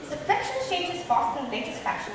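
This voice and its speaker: Young woman acting a high-voiced, scornful noblewoman, echoey hall. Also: sing-song, fast. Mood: mocking. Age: young adult. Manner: mocking, declamatory. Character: sharp-tongued, scornful young noblewoman, witty niece. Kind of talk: amateur stage acting of modernised Shakespeare comedy. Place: large hall, distant microphone at a stage play. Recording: very echoey hall, recorded from far off.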